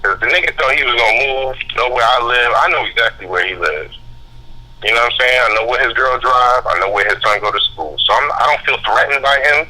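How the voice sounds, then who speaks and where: A man speaking as a guest on a talk radio show, heated and profane, telling his story fast and forcefully. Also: mid-range voice. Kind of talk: angry call-in radio talk. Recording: phone line.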